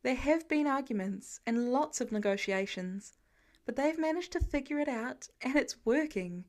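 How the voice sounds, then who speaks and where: A woman's voice: sits high, animated, moderate pace. A woman reading a story aloud as scripted narration. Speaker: podfic narrator.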